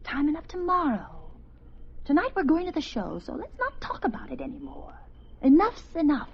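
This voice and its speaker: An American actress playing a brisk, self-possessed, strong-willed small-town lady in an old radio suspense play. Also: sits high, wildly swooping pitch, vocal fry, heavily acted. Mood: brisk, insistent. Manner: brisk, dismissive, persuasive. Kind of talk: mid-century American suspense radio acting. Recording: muffled old radio broadcast recording.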